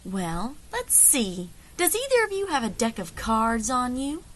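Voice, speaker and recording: A woman playing a confident, folksy frontier leader in an audio drama, wry and commanding, with calm menace. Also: on the high side, unhurried, slight background noise. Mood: cool, commanding.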